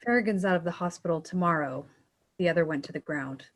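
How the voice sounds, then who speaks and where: Woman acting cool, menacing operative, lowish voice. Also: quick pace, close to an everyday voice. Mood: cool menace. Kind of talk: screenplay table read.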